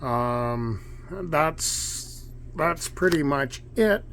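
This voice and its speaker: A man giving casual, unscripted commentary as an amateur radio demonstrator, halting and measured with pauses. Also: fairly high pitch, animated, creaky, fairly bright tone. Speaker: amateur radio operator narrating a video. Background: shortwave radio noise and chatter.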